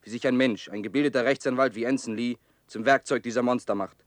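Fast-talking contemptuous commentator played by a man. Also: mid-range voice, steady tone, dry recording, close to an everyday voice. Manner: scornful, agitated. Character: disgusted, contemptuous male press commentator. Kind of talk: radio drama mock commentary.

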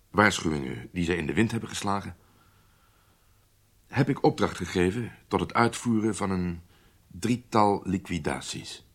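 Deep, husky, grave intelligence chief. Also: male voice. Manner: measured, formal, authoritative. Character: grave, resolute male Israeli secret service chief. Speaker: intelligence chief dictating a memo. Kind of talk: radio drama acting.

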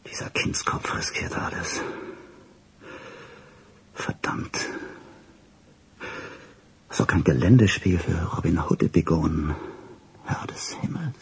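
A man's voice: low-pitched, expressive, slow pace, vocal fry, long pauses, slight background noise, heavily acted. An actor playing a flustered, secretive boss in a radio drama, officious and clipped, hesitating and repeating himself as he gives orders. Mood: muttered anger. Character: flustered, secretive male boss, a commanding doctor dictating orders. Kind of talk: radio drama acting, phone calls and dictation.

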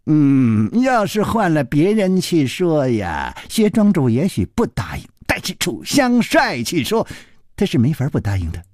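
Pouty young lad, played by a male narrator, naive yet pleased with himself, higher voice, storybook style. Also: wildly swooping pitch, measured pace, darkish tone, no room sound, clean studio sound. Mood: smug. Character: sulky, naive half-grown boy, smug at his guesses.

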